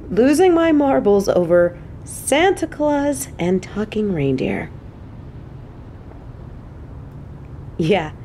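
A woman playing a casual, affectionate, teasing supervillain who gets flustered, speaking haltingly and expressively in an audio drama. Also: medium pitch, a little mellow, a little hiss or hum. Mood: weary, amazed. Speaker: voice actress in an audio roleplay. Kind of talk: intimate scripted audio drama addressed to a listener.

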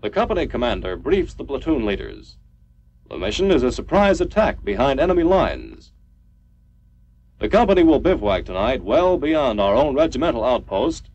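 A man's voice: mid-range voice, a little mellow. A man reading a scripted 1950s military training film narration in a formal, clipped, instructional manner. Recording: dull lo-fi 1950s film soundtrack.

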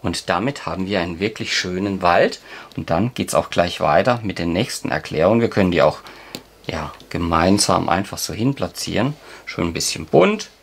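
A man's voice: low voice, lively intonation, normal speed. A man, casually explaining a board game in an encouraging, playful way, like a dad hosting. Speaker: host of a family board game channel, father. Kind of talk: casual explanatory game play-through with a child. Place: indoors at a table at home. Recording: clearly noisy room, clicking game pieces.

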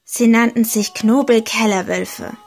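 A woman reading a short homemade fairy tale aloud, slow and deliberate, leaning gently into key words. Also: on the high side, steady tone, husky. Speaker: storyteller. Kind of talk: slow storybook narration.

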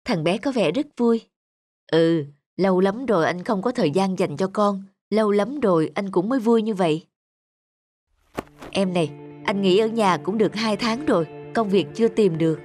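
A woman's voice: medium pitch, normal speed, a little mellow, natural voice. A woman voicing an earnest, reflective young husband and devoted father in a cartoon. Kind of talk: cartoon dubbing. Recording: music behind the voice.

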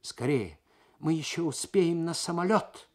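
A man voicing an indignant, excitable foreign traveller with theatrical outbursts, in a dramatic radio story reading. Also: a little high, unhurried, pitched above the performer's own voice. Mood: despairing, frantic. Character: blustering, indignant foreign male traveller caught smuggling.

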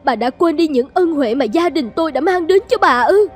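Woman voicing an anxious insistent rich wife, high-pitched. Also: lively intonation, brisk, faint music behind. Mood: pressing, cold. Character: anxious, hard-pressing wealthy wife and mother. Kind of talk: cartoon fairy-tale dubbing.

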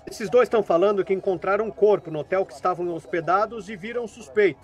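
Audio drama: actor as bureaucratic male cop, high-pitched, formal and doubting. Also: faint music behind, close to an everyday voice. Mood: matter-of-fact. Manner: formal, unhurried, sceptical. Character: dismissive, bureaucratic male small-town police officer.

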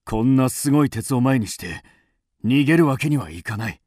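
A man's voice: medium pitch, expressive, moderate pace, a little mellow, no echo, clean studio sound, close to an everyday voice. A man playing a loyal, driven master swordsmith, earnest and intense, in a radio drama. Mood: obsessed, weary.

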